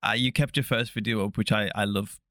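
A man's voice: lowish voice, steady tone, a little fast, a bit bright, no echo, clean studio sound. A young man hosting an interview podcast, asking long, rambling questions full of fillers. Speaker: podcast host. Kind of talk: casual interview.

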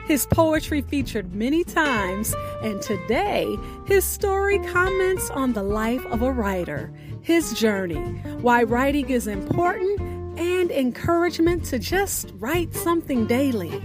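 A woman radio host delivering a scripted show outro in a deliberate, carefully enunciated announcing manner. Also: high voice, sing-song, music in the background.

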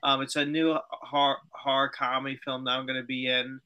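A man's voice: medium pitch, flat delivery, bright, forceful tone, clean tone, lo-fi sound. A man chatting as a podcast guest. He sounds tired and a bit nasal, and he stumbles and repeats words. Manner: halting, repeats words, jokey. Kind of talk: casual chat.

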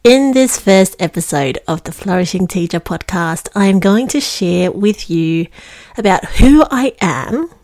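A woman's voice: mid-range voice, expressive, unhurried, a little mellow. A woman in her thirties from Singapore, hosting her own podcast as a teacher wellbeing coach in an earnest, reflective monologue on a close mic.

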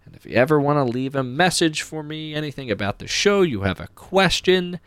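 A man's voice: fairly high pitch, lively intonation, fairly quick, fairly bright tone, smooth, dry recording. A man hosting a podcast, presenting announcements in an upbeat, chatty, informal way with playful asides. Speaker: podcast host.

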